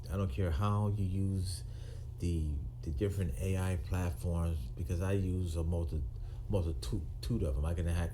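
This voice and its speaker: A man in his sixties, a retired police officer, talking to camera in a halting, firm way with many ums and restarts. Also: low-pitched, warm tone, a little hiss or hum. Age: 60s. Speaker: retired police officer turned video maker. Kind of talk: unscripted monologue to camera.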